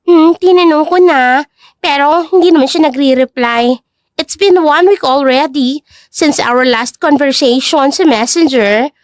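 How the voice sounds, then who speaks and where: Woman putting on a high, catty rich socialite. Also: measured pace, dry recording, clean studio sound. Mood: gossipy. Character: wealthy, gossipy socialite woman friend, catty and dramatic. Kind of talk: audio drama acting in Tagalog-English.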